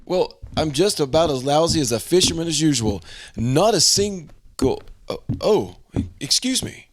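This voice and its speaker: A man playing a flustered, excitable husband under accusation in an old-time radio drama, stammering as he goes. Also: on the high side, unhurried, a little hiss or hum. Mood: genial, evasive.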